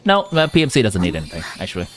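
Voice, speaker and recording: Young man, vietnamese accent, game streamer, animated up-and-down voice, game music playing behind. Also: on the low side, racing. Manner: chatty, answering chat while giving game advice. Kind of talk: casual live-stream chat.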